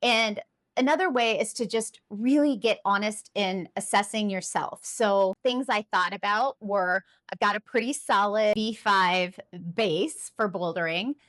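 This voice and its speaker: A woman's voice: medium pitch, measured pace, fairly bright tone. A woman in her fifties talking to camera in a casual, encouraging and self-deprecating way. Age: 50s. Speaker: climbing vlogger.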